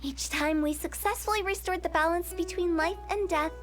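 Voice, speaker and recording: A woman's voice: extremely high pitch, normal speed. A woman voicing a playful young female funeral parlor director in a video game, breezy and teasing as she explains things. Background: game music.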